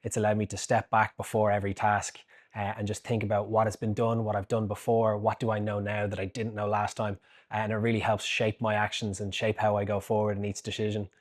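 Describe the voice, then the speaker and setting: Young man, low pitch, level delivery, reflective, student testimonial. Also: little pitch movement, brisk. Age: 20s. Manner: reflective, with frequent "uh" fillers. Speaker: graduate student.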